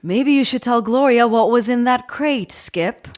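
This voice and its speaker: A woman's voice: a little high, unhurried, lightly acted. A woman playing a blunt, sarcastic, insubordinate hacker in a comedy audio drama. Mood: dry, sardonic. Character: sardonic, insubordinate female hacker.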